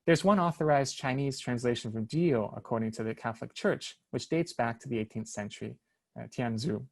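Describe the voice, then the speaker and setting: A man's voice: medium pitch, creaky. Man reading an academic paper. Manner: measured, careful reading with occasional hesitations and restarts over names. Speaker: academic lecturer. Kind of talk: reading a prepared research paper. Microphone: online seminar, computer mic.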